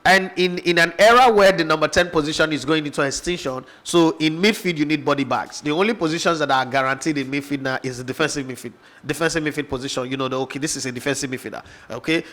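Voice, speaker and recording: Nigerian male sports host, slightly high voice, heated and argumentative, lots of rhetorical questions. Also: fast, a bit bright. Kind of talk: opinionated football analysis chat.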